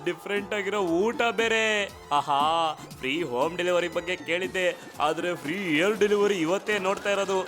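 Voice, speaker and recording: A man's voice: high voice, fairly quick, close to an everyday voice. A man voicing an easygoing, greedy cartoon fellow who loves food, with exclamatory, savouring delivery. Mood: delighted. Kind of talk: animated cartoon dubbing. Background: music.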